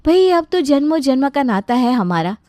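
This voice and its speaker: A woman voicing a cheeky, chatty young bride in an animated family story, teasing and playful. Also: sits high, normal speed, dry recording. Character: cheeky, chatty young bride who fusses over her in-laws.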